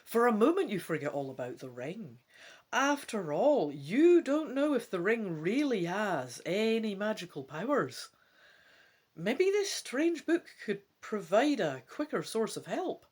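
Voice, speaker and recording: A Scottish woman hosting a gamebook play-through, mixing expressive, theatrical storybook reading with casual commentary. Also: mid-range voice, a little slow, husky. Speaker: gamebook let's-play host.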